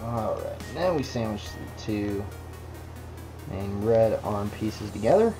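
Slow, low-voiced man building a kit. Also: low-quality recording. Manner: relaxed, talks to the parts as he works. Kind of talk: casual build tutorial. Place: indoor workbench. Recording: music bed under voice. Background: background music.

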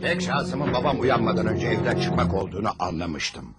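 A man's voice: lowish voice, animated, moderate pace, loud music behind the voice. A man playing a middle-aged narrator in a cartoon, wryly recalling his childhood in scripted storytelling. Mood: wry, nostalgic. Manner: wry.

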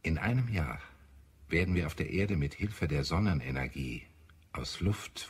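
Ein Schauspieler spielt in einem deutschen Hörspiel einen ernsten, idealistischen Marsianer, der getragen und deklamierend spricht. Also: male voice, sits low, husky. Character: earnest, idealistic Martian man, visionary and grave. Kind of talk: German radio play acting.

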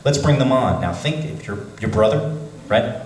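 A man talking at a convention panel, telling his career story in a chatty, animated way. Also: mid-range voice, normal speed, a little hiss or hum. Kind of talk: casual panel talk. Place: large convention hall. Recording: echoey hall, voice from far.

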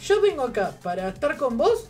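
A woman's voice: high voice, fairly quick. A young woman with an Argentine Spanish accent, joking around in casual banter during a video game. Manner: joking, animated. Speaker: gaming companion. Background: background music.

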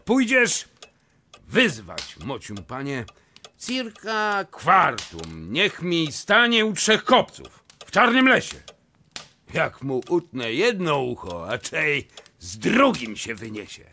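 Gravelly, blustering old nobleman. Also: fairly high pitch, huge pitch swings, takes it slowly, a little hiss or hum, heavily acted. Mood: stubborn, commanding. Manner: exclamatory, swinging from muttering to shouting. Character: blustering, hot-tempered old Polish nobleman, boastful and stubborn. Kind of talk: theatrical verse comedy radio play.

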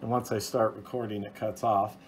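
A man's voice: fairly low pitch, level tone, a little fast. Man explaining things over background noise. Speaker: hobbyist video host. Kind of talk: informal hands-on explainer. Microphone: phone, off camera. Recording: noisy home video recorded on phone.

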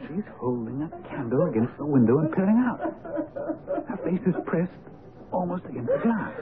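An actor playing an earnest, loyal doctor and companion to a detective, narrating formally in a radio drama. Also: male voice, a little high, sing-song, over a noisy crowd. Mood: hushed, alert. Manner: measured, formal storytelling. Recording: dull, noisy old radio broadcast.

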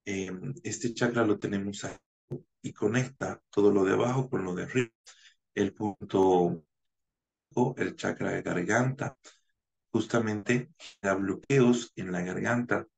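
A man in his thirties from Bolivia, a naturopath giving a measured online conference lecture over a webcam, with frequent hesitation fillers. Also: lowish voice, vocal fry. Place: home room, indoors. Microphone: video call webcam.

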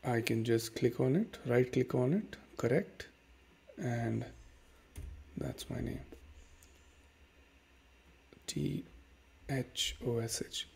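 A man in his thirties with an Indian accent, hosting a casual software tutorial at his desk and walking through each step with plenty of fillers. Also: mid-range voice, slow, gravelly, pauses often, husky. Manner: relaxed, many fillers and "okay"s, explains step by step. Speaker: tutorial host. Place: dim room at home.

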